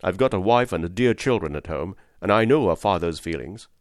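A man's voice: low voice, lively intonation, brisk, no echo. A working-class cab driver from a storybook, played by a male reader. He is fatherly and plain-spoken, firm yet kindly and reassuring. The recording is dry, with no room around the voice.

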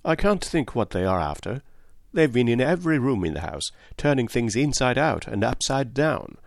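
A man's voice: mid-range voice, sing-song, normal speed, vocal fry, dry recording, studio quality, pitched above the performer's own voice. A male narrator voicing a good-natured Englishman who blusters with indignation. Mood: indignant.

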